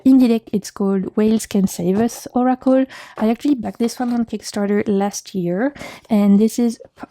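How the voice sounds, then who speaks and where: A woman from France with a French accent hosts a casual video chat. Her voice is very dark and relaxed, at a medium pitch, in a dry room. She talks with gentle enthusiasm, often in short emphatic phrases. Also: vocal fry, no echo. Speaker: video host reviewing card decks.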